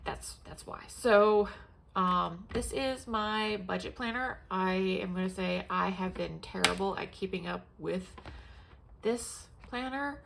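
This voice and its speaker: A woman's voice: mid-range voice, slow, creaky, fairly bright tone, slight background noise. Congested woman rambling about her planners. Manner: rambling, many fillers and restarts. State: congested, sniffling. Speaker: planner vlogger. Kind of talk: casual show-and-tell chat.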